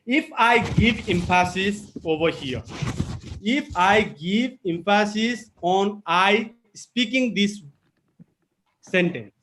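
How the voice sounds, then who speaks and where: A man with a Nepali accent leads a public speaking webinar over a video call. His voice sits notably high for a man. He presses his points home emphatically and repeats them. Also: takes it slowly, fairly bright tone, a little hiss or hum, rough recording. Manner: emphatic, repetitive teaching delivery with code-switching. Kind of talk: webinar lecture.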